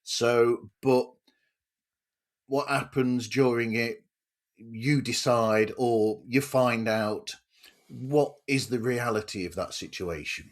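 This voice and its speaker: Casual male interviewer for a podcast, unhurried delivery, somewhat hesitant phrasing. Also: medium pitch. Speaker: podcast host interviewing a guest.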